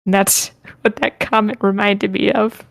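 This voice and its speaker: A woman moderates an online group meeting in a casual, unpolished way. Her voice sits at an average pitch and the sound is very dry. She reads messages out and drops small muttered asides to herself as she goes. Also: flat delivery, moderate pace, creaky, no echo. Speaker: host moderating a script feedback session.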